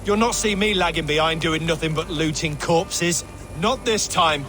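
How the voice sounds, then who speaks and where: An actor playing an eager, scrappy warrior out to prove himself, in a video game. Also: male voice, on the high side, moderate pace, close to an everyday voice. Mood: eager, determined. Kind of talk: video game dramatic acting. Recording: loud music behind the voice.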